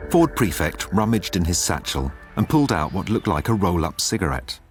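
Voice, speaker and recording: A man's voice: deep voice, big pitch swings, normal speed, soft background music. A man reading comic science fiction as a scripted audiobook narration.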